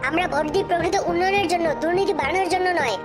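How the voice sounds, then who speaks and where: Very high-pitched outspoken village woman, acted by a woman. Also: racing. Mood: righteous. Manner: emphatic, righteous. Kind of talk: comedy cartoon dubbing. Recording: music behind the voice.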